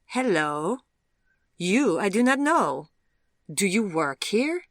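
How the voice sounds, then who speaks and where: Female reader as cold, glamorous foreign beauty, accented and blunt, higher and slower than the reader's own voice, dry sound. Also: sing-song, a bit bright, no echo, exaggerated voice. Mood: haughty.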